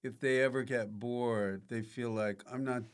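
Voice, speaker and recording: A man with a medium-pitched voice hosts a casual comedy podcast. He keeps things conversational, putting in brief questions and playful interjections. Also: steady tone, unhurried, smooth.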